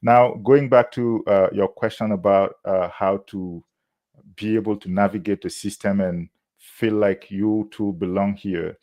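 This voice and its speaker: A man from Burkina Faso, speaking English as a later language, giving measured advice as a university admissions officer, with many "uh" pauses. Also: fairly low pitch, steady tone, highs cut off. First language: not English. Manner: measured, frequent "uh" fillers, warm laughs. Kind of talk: interview answers, advice to students.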